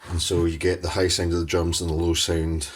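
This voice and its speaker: A man's voice: low-pitched, completely flat delivery, fairly quick. A man explaining music equipment in a methodical, matter-of-fact tutorial style. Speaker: music gear demonstrator.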